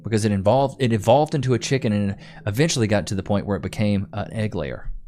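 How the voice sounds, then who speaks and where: A man's voice: fairly low pitch, fast, dark tone. A young man, hosting a live stream and chatting casually while reading comments, laid-back and rambling. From Texas. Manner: laid-back, rambling, repeats himself, says "bro".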